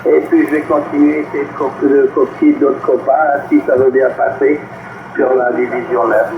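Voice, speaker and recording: A man's voice: mid-range voice, level tone, normal speed. An older man with an accent from France, chatting amiably as a CB caller, his voice coming through a radio transmission. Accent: French from France. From Brittany, northwest France. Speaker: CB radio operator calling in. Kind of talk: casual radio chat. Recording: muffled noisy CB radio reception, roomy. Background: radio static noise.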